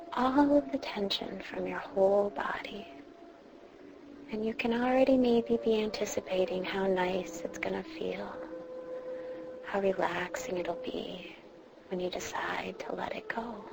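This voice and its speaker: Slow-paced woman guiding meditation over background noise. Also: mid-range voice. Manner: soothing, reassuring, with drawn-out pauses. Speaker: meditation guide. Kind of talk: guided meditation, instructing a listener. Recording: clearly noisy background ambience.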